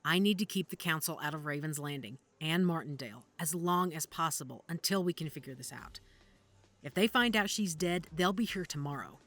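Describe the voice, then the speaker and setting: A woman acting in an audio drama as a guarded, worried werewolf investigator who speaks gravely and deliberately. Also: sits low, lively intonation, fairly bright tone, no room sound. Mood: urgent. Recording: music under the dialogue.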